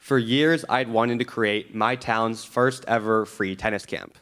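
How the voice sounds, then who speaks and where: A teenage boy giving a prepared speech at a school board meeting, earnest and measured. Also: lowish voice, steady tone, fairly bright tone. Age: teens. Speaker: student speaker.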